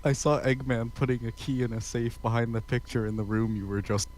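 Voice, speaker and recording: A man with a mid-pitched voice reads story dialogue cold, halting and amused. There is faint noise behind him. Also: fairly even intonation, darkish tone, poor audio. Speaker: co-reader of character dialogue. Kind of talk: halting, amused cold reading aloud in an everyday voice.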